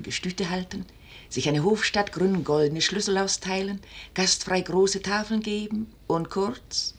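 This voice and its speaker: An actress playing a forceful, scheming noblewoman in a 1950s German radio drama, declaiming insistently in classical stage style with a husky voice. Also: mid-range voice. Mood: scornful, mocking. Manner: rhetorical, insistent, theatrically declaimed. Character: forceful, scheming noblewoman urging a wavering commander to seize power.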